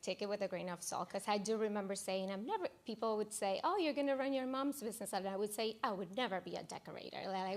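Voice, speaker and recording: Female panelist, native Spanish speaker with Dominican accent, informal storyteller, fry-heavy voice. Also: medium pitch. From Dominican Republic. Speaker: panelist, interior designer. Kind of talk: conversational career story at a panel talk.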